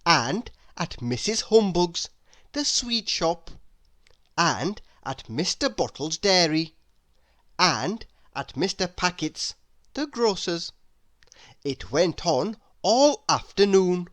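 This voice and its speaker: Slow, expressive woman reading a storybook. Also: on the low side, no room sound, clean studio sound. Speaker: storybook reader. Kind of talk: animated children's read-aloud narration.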